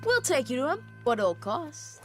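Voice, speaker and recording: A woman's voice: high-pitched, sing-song, normal speed, a bit bright, faint music behind. A woman voicing a brash, cheeky little street urchin boy in a video game, pushy and wheedling. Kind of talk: cinematic video game acting.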